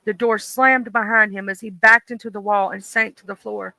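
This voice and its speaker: Bright-voiced livestream host reading scary stories. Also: mid-range voice, moderate pace, gravelly, poor audio. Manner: even, steady story narration, chatty with fillers and restarts. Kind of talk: horror story reading, then casual chat.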